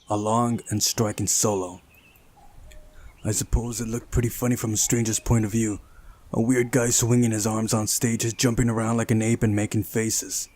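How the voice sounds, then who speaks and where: A man's voice: on the low side, darkish tone. A man hosting a visual novel playthrough, reading the game's narration aloud and adding casual commentary.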